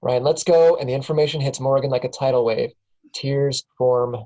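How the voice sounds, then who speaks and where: A woman reads a screenplay's stage directions aloud at a casual table read. Her tone is mellow and dark, and she keeps breaking into laughs as she reads. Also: a bass voice, fairly even intonation, normal speed, lo-fi sound. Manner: laughs often while reading. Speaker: narrator reading stage directions.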